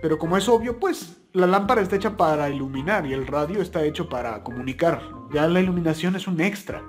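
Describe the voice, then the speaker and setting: A man's voice: a little high, animated, quick pace, faint music behind. A young man speaking in a casual, chatty, folksy way as he hosts a product-test vlog. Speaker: video host. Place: plain indoor room, also outdoors at night.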